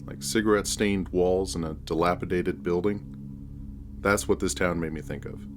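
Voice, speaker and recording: Deep-voiced man narrating a story. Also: normal speed. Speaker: horror fiction narrator. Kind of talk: scripted first-person story narration.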